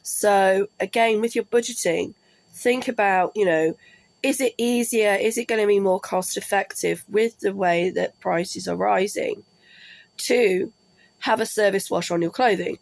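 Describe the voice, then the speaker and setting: A woman talking slowly to her camera, her voice gravelly and thick with congestion from a cold. She rambles and apologises often, filling gaps with ums. The sound is dry and close, with little room around it. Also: mid-range voice, no room sound, rough recording. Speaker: vlogger. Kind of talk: casual talk to camera. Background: washing machine running.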